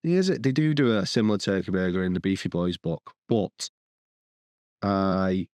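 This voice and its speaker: A man's voice: low voice, normal speed, a little mellow, dry recording. A man co-hosting a podcast, rambling and restarting sentences as he lists the dishes he cooked. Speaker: podcast co-host. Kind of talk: casual chat.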